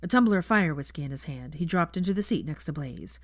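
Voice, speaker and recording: A woman's voice: deep voice, lively intonation, quick pace, no room sound, clean studio sound. A woman narrating a fan fiction audiobook, reading scripted prose as a storyteller.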